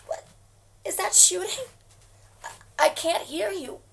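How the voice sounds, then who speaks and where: A woman's voice: very high voice, takes it slowly, distant mic, heavily acted. An actress playing a desperate, frightened woman making phone calls for help, pleading, panicking and at times whispering. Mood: panicked. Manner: frantic, pleading, shifting to hushed whispers. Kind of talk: dramatic monologue acting.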